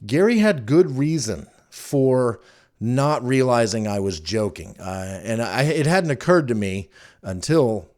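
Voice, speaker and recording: A man's voice: mid-range voice, lively intonation, a little slow, darkish tone. A man hosting a podcast, telling stories casually in an informal, wry and amused way. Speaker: podcast host. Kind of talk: casual rambling storytelling to listeners.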